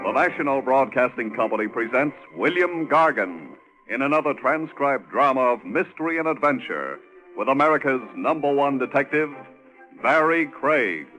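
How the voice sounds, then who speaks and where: A man's voice: mid-range voice, animated, moderate pace, sharp tone, low-quality recording. A man announcing a drama in a formal old-time radio style. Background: music.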